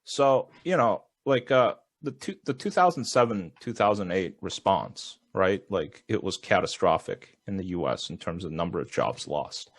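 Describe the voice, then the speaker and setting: A man's voice: low voice, animated, moderate pace, hardly any pauses, no room sound. A man explaining points in a casual video-call panel discussion through a headset, full of fillers like "you know" and "like". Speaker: podcast panelist.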